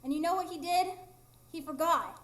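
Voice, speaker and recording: A proud fairy with a very high voice, stuck living as a human. She is plaintive and emphatic, wounded at heart, acting in a live radio play in an echoing room. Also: normal speed, a bit bright. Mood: bitter, hurt. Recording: roomy live stage performance.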